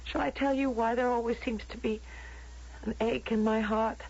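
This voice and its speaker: Unhappy, defiant, husky young woman. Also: on the high side, fairly even intonation, darkish tone. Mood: aching, sorrowful. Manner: plaintive, emotional, halting. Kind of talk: old radio drama acting. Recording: dull lo-fi vintage radio drama recording.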